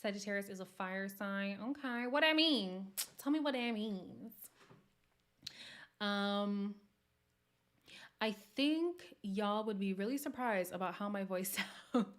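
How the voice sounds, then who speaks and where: A woman from Montreal talks openly on her livestream, her pitch sitting in an ordinary middle range. She chats casually with viewers, answering their comments and breaking into frequent laughter. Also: slow, long pauses. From Montreal, Canada. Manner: candid, conversational, laughs often. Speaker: livestream host.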